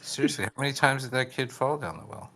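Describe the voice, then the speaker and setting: Bright-voiced easygoing male podcast host. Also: medium pitch, expressive, fast. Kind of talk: casual chat.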